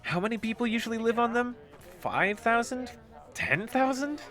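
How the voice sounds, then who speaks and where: A woman's voice: on the high side, expressive, moderate pace, fairly bright tone, faint music behind. Casting: female actor for sceptical spacefarer, swooping intonation, hesitant and easily exasperated, audio drama.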